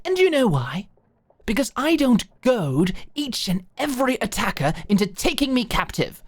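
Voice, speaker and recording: A woman's voice: mid-range voice, no room sound. Woman acting as exasperated well-spoken young nobleman, dramatically swooping. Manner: formal, lecturing, emphatic. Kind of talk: dramatic audio roleplay acting.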